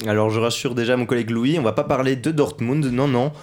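A man reading his football column on a radio show, telling it as a lively story with asides to the listeners. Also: mid-range voice, fast.

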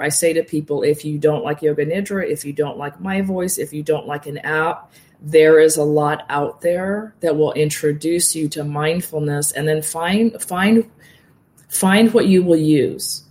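A woman who teaches yoga nidra, speaking as an interview guest in a calm, soothing and encouraging way. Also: low voice, a little slow. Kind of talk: casual interview talk.

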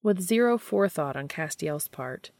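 A woman's voice: sits low, lively intonation, moderate pace, a little mellow, no room sound, studio quality. A woman reading fan fiction aloud as scripted story narration.

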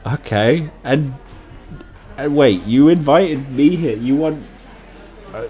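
A man plays a bemused male dinner date in an improvised comedy radio play. His mid-pitched voice is polite and halting, full of hesitant questions. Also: dark tone, dull audio, soft background music, natural voice. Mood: puzzled.

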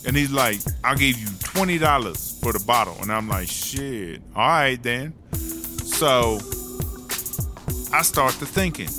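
A man's voice: mid-range voice, lively intonation, a little slow, lightly acted. Man playing a brash streetwise woman prisoner over hip hop. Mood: animated storytelling. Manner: slangy, indignant, rambling storytelling. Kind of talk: comedic audio drama monologue. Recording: hip hop music behind the voice.

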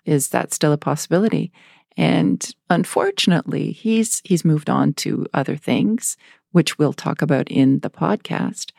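A woman's voice: sits low, a little slow, gravelly, a little mellow, no room sound, studio quality. A woman hosting a podcast interview, introducing her guest and asking questions with enthusiastic, effusive delight. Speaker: podcast host.

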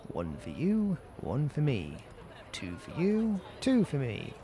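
A man's voice: fairly high pitch, wildly swooping pitch, slow, crowd noise, exaggerated voice. A man playing a sly, bossy, cheeky schoolboy in an amateur audio drama. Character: Pete, scheming cheeky schoolboy pal in trunks.

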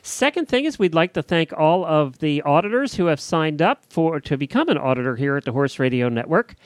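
A man's voice: fairly high pitch, big pitch swings, fairly quick, no room sound. A man hosting a podcast, chatting informally and reading out show announcements in a conversational way. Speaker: podcast host. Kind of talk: casual chat and show announcements.

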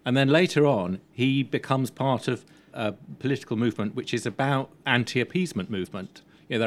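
A man chatting informally as a panellist at a film screening, enthusiastic and inclined to digress. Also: on the low side. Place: live event at a film screening.

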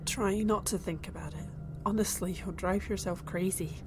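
An actress playing Claire, a curious young woman abducted onto an alien ship, calm and reassuring to others. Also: mid-range voice, normal speed, natural voice. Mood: calm, steadying. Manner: reassuring, then eagerly curious. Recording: faint music score underneath.

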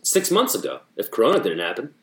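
A man's voice: fairly low pitch, huge pitch swings, fast. A man in his thirties, a comedian co-hosting a podcast, chatting playfully and riffing with puns. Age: 30s. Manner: playful, riffs and makes puns. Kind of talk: casual podcast chat. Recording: echoey room.

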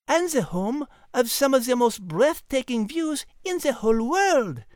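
A woman's voice: sits high, expressive, unhurried, a little mellow, dry recording, studio quality. A woman voicing a refined, learned female cat with a French accent and a prim, precise manner in a children's audio drama. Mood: prim, patient. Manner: prim, precise, patient, schoolteacherly.

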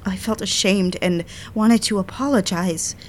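A woman's voice: mid-range voice, measured pace, slight background noise, lightly acted. An actress as an emotional, defiant independent woman. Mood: wounded, reflective. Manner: emotional, emphatic, theatrical. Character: defiant, indignant young independent woman, confronting and confiding. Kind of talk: dramatic audio-drama acting and diary-like narration.

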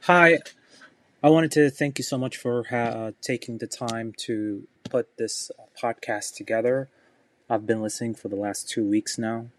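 A man in his thirties leaving a polite question as a caller, speaking carefully and haltingly. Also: medium pitch, fairly even intonation, a bit bright. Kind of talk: polite spoken voicemail question.

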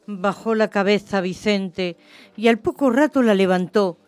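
A woman's voice: mid-range voice, a little slow, no echo. A woman narrating a literary story for radio theatre in a scripted reading style.

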